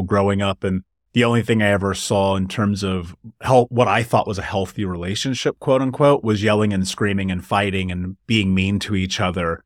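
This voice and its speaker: A man's voice: lowish voice, dry recording, clean studio sound. A man hosting a podcast interview, earnest and motivational, speaking directly to his listeners. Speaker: podcast host. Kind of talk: conversational interview.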